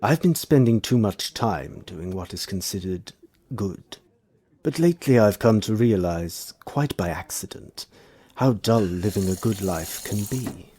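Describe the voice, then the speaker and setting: Guy doing a deep smooth creepy victorian gent, very formal and suave, period drama. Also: animated, warm tone. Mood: smooth, flirtatious. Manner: formal, courtly, deliberate phrasing. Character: suave, sinister Victorian gentleman with dark appetites. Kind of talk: theatrical period audio drama acting.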